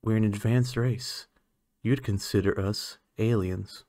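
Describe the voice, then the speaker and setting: A man playing an aloof, condescending alien scientist in an ASMR roleplay, speaking in a clinical, measured, haughty manner. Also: fairly low pitch, fairly even intonation, mellow, dry recording, studio quality. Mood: matter-of-fact, haughty. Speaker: voice actor.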